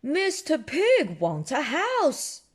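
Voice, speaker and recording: A woman's voice: high voice, huge pitch swings, drawn-out words, pitched above the performer's own voice, exaggerated voice. A woman voicing a hearty male bull who works as a builder in a children's storybook, emphatic and matter-of-fact. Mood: hearty, businesslike.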